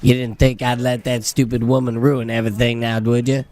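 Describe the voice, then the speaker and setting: A man's voice: medium pitch, flat delivery, brisk, a little mellow, natural voice. An actor playing a menacing, bossy male villain in comic action drama, faint noise behind.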